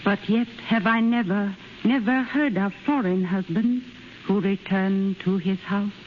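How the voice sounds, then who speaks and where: Sombre maidservant, played by an actress. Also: female voice, medium pitch, a little slow, natural voice. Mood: sorrowful. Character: loyal Japanese maidservant, sombre, doubting, blunt. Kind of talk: 1930s radio acting. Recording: muffled hissy 1930s radio broadcast.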